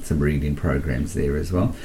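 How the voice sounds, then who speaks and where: A man with a South African accent, speaking as a radio interview guest in an earnest, halting way with many pauses and ums. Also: very deep voice, steady tone, warm tone, a little hiss or hum.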